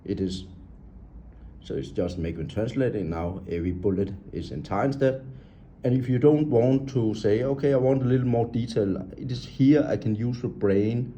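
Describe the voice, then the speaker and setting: A man giving an unscripted walkthrough of an app, halting and talkative, pointing out items on the screen in English with non-native grammar. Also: on the low side, warm tone, a little hiss or hum. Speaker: app tutorial presenter.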